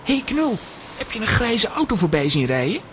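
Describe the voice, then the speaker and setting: Woman acting animated, earnest young boy. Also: medium pitch, brisk, slight background noise, close to an everyday voice. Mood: challenging. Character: earnest, well-behaved young boy hero. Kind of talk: children's audio drama acting.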